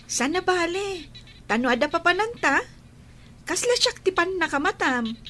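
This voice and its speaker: A woman's voice: very high voice, animated, normal speed, pushed and bright, slight background noise, heavily acted. A woman with an Ilocano accent playing a caring, devoted young sister in a radio drama. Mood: tender, reassuring.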